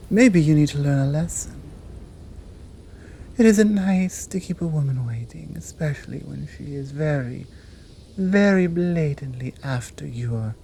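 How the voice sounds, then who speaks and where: A woman with a British accent playing a seductive, commanding monster woman in an intimate ASMR roleplay, teasing and often dropping into a breathy whisper. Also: deep voice, mellow, full character voice. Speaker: audio roleplay performer.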